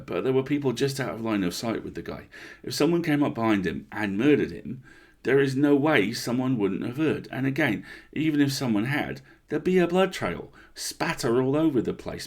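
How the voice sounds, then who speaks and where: Guy acting out a shaken park ranger in a horror story, blunt n sweary, husky, gravelly. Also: medium pitch. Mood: baffled, blunt. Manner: blunt, sweary, incredulous, conversational. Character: rattled, blunt male park ranger confiding over drinks.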